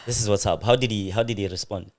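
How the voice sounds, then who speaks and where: A man's voice: lowish voice, steady tone, fast. A man hosting a podcast, asking curious questions in a playful way with frequent laughs. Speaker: podcast host. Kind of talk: casual interview conversation.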